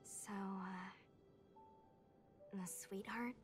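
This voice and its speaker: A woman's voice: medium pitch, fairly even intonation, very slow. A woman voicing an eager, earnest young female knight in an anime-style game, chatty and spirited, with music behind her. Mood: chatty, upbeat.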